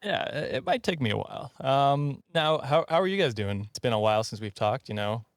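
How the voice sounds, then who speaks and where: A man hosting a casual comedy podcast in a jokey, slightly halting way. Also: mid-range voice, expressive, dry recording, clean studio sound. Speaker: podcast host.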